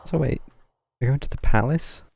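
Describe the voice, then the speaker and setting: A woman's voice: very deep voice, moderate pace, gravelly, noisy background. A woman livestreaming a game with casual, dry, understated commentary.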